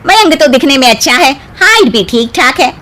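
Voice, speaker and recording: A woman's voice: very animated, a little fast, sharp tone, heavily acted. A woman voicing a gossiping, dramatic neighbour woman in a cartoon story. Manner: gossipy, shrill.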